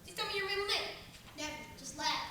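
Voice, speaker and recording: A woman's voice: very high voice, fast talker, pushed and bright. A woman playing an eager, frank young orphan boy in a radio play. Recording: echoey room, far mic, faint hiss.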